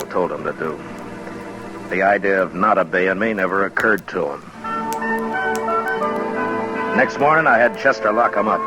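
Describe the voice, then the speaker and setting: Deep-voiced, weary American frontier marshal played by an actor. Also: male voice, lo-fi sound, lightly acted. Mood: narrating. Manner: terse, authoritative, measured. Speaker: lead actor and narrator. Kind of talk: old-time radio western acting. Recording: noisy vintage radio recording.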